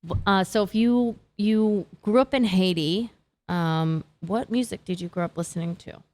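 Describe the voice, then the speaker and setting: A woman's voice: mid-range voice, normal speed, a little mellow. A woman hosting a casual music interview, speaking in an effusive, informal way. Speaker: radio show host, interviewer. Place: live radio studio.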